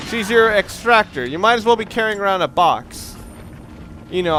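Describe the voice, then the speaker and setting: A man giving casual, opinionated gameplay commentary, rambling and halting as he goes, with casual swearing. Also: on the high side, noisy background. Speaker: let's play gamer commentator.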